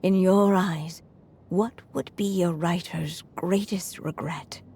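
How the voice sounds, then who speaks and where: A woman playing a frail but resolute elderly writer in a video game, measured and reflective, with a breathy voice that weakens into whispers. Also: lowish voice, steady tone, warm tone, a little hiss or hum. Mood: wistful, reflective. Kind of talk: dramatic video game voice acting. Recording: music under the voice.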